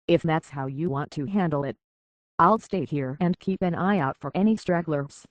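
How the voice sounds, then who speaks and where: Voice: mid-range voice, moderate pace, no echo, lo-fi sound, lightly acted. An actor playing a plainspoken, guarded survivor from a harbor town in a video game, talking in a terse, folksy way. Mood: businesslike, resolute. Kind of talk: video game character acting.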